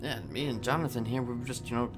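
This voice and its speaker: A man's voice: medium pitch, very fast, over music, lightly acted. A man playing an awkward, lovestruck jailbird who rambles and stammers in improvised online roleplay. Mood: rambling, awkward.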